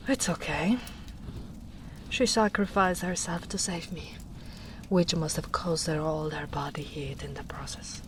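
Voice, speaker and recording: A tender, protective big ogre woman, played by a woman speaks in a hushed, half-whispered murmur. Her voice sits a little low and moves at a very slow, unhurried pace, like a soft-spoken storyteller by the fire. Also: noisy background. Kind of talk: soft-spoken ASMR roleplay.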